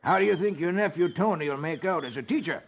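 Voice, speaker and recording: Man acting a creaky, rustic sea captain. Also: a little high, expressive, fast, voice pushed up. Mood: inquisitive. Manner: folksy rustic grammar. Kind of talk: 1950s radio acting. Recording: muffled, noisy old radio recording.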